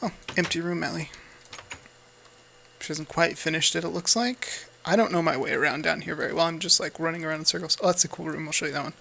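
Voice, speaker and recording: A man's voice: fairly high pitch, quick pace, creaky, pushed and bright, a little hiss or hum. An American man giving casual, off-the-cuff gameplay commentary in an easygoing, rambling way. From United States. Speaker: Let's Play host.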